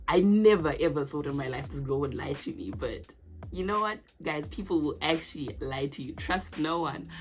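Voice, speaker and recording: A woman in her twenties chatting casually to camera in her vlog, laughing often. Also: low-pitched. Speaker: vlogger.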